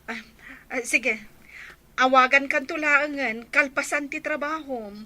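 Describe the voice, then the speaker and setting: A woman's voice: high voice, a little slow, sharp tone, full character voice. A woman playing an anxious, dutiful elder daughter in an audio drama. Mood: worried.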